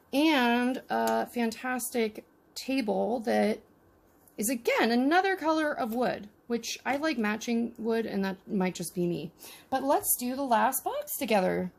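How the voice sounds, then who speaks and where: A woman's voice: a little high, creaky, a little mellow. A woman casually narrating a miniature unboxing with chatty, wry asides. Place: indoors at a tabletop.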